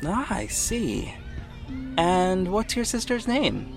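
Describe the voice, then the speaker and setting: Man acting a gentle protective hero, music behind. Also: high voice, sing-song, slow pace, vocal fry, voice pushed up, full character voice. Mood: gentle, reassuring. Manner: gentle, encouraging, talking as to a child. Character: kind, protective male hero reassuring a small child. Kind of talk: audio drama dialogue.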